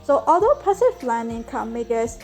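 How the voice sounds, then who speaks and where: A young woman with a Burmese accent talks through a language-learning lesson in a vlog. Her voice sits a little high, and her delivery is earnest and explanatory, leaning on "so" and "like". Faint music plays underneath. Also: sing-song, moderate pace, a little mellow.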